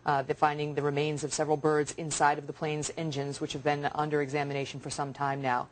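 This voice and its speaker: American woman news anchor, lowish voice. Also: flat delivery, quick pace, slight background noise, rough recording. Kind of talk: live breaking-news presenting and commentary.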